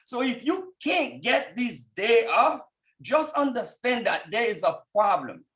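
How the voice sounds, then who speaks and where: A man's voice: extremely high pitch, big pitch swings, a little slow. A man in his thirties from Haiti with a thick Haitian accent, giving a fervent motivational talk to people on a call. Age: 30s. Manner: fervent, rising to shouts at peaks. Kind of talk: motivational speech.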